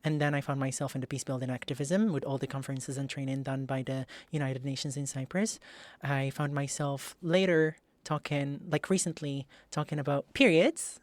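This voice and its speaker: A man's voice: on the high side, dry recording. A man in his twenties from Morocco, a guest activist in a podcast interview, earnest and talkative, often repeating his phrases. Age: 20s. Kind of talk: casual interview. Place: studio. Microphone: studio microphone.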